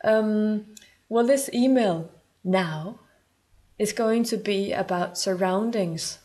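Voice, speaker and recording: A woman's voice: medium pitch, darkish tone. A woman from Denmark with a Danish accent, speaking English as a second language and talking earnestly to camera as a spiritual coach, sometimes searching for words.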